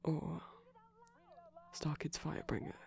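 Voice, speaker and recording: A person playing a shy, gently geeky boyfriend in soft, breathy ASMR, rambling and explaining over a movie and often dropping into a whisper, with a creaky edge. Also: medium pitch, wildly swooping pitch, slow pace. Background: TV playing in the background.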